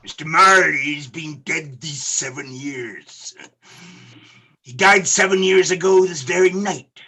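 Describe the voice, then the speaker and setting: An actor in a radio play playing a bitter, miserly old businessman with a raspy, curt, scornful delivery. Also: fairly high pitch, takes it slowly, sharp tone, full character voice. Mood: sardonic. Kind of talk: theatrical radio play acting.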